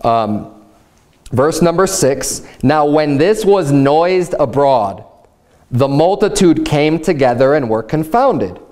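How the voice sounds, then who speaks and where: Animated man preaching at a pulpit. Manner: animated preaching, voice rising to emphatic highs, reads scripture slowly. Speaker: pastor. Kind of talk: fundamentalist Baptist sermon. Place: church room, standing at a pulpit.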